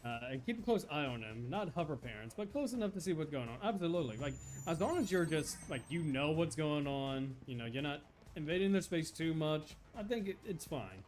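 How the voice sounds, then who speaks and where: Male game streamer, 30s, high-ish animated voice, chatty and earnest, faint music under. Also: fairly quick, few pauses. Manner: rambling, earnest, with restarts and chuckles. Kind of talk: casual live-stream chat.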